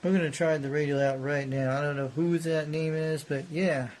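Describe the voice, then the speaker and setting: A man's voice: on the high side, steady tone, moderate pace, darkish tone. A man presenting a casual, unscripted hobby show-and-tell in a rambling, repetitive way. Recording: noticeable background noise.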